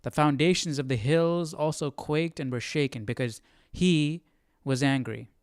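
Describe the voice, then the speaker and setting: Clear-voiced man in a dry, close recording. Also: a little high, unhurried, dry recording. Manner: informal, thinking aloud. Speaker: Bible study host. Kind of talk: casual study commentary and reading aloud.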